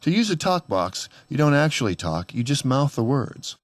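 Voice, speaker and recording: A man's voice: medium pitch, very animated, normal speed, dry recording. Man narrating a how-to, dry close sound. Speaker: host of a DIY tutorial.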